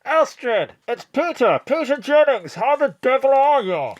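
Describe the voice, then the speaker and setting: A man's voice: extremely high pitch, very animated, unhurried, dry recording, dull audio, full character voice. An actor playing a suave, flirtatious man with a smarmy, suggestive manner in a comic noir audio drama. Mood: breezy. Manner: smarmy, innuendo-laden.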